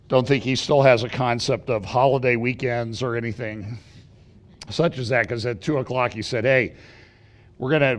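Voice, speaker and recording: A middle-aged man, slightly raspy from feeling unwell, giving a rambling prophecy update at church with many fillers and restarts. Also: medium pitch. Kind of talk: casual prophecy update talk.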